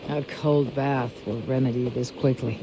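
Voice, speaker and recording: An actor playing a blunt, tough Viking raider from Norway with a terse, dry manner. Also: male voice, mid-range voice, steady tone, normal speed, crowd noise, lightly acted, low-quality recording.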